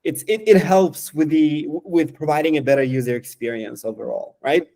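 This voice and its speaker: Mellow-voiced man demoing software. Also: fairly high pitch. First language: Romanian. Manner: halting, lots of fillers and restarts. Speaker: software developer. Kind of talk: casual screen-share product demo.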